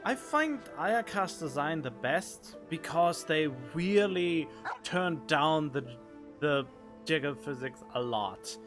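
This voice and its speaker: A man's voice: fairly high pitch, lively intonation, takes it slowly, a bit bright, faint music behind. A man giving casual, rambling game commentary as a streamer, informal and sweary.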